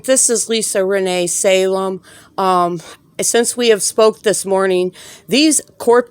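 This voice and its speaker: A woman's voice: lowish voice, clear, resonant tone, no room sound. A woman leaving an angry voicemail complaint as a tenant, speaking in a combative, emphatic and indignant manner with blunt profanity.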